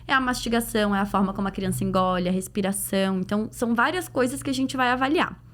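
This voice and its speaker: A woman's voice: mid-range voice, fast. A woman with a Brazilian Portuguese accent answering in a podcast interview as a clinician guest, explaining things conversationally with illustrative examples. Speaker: guest, pediatric dental clinician.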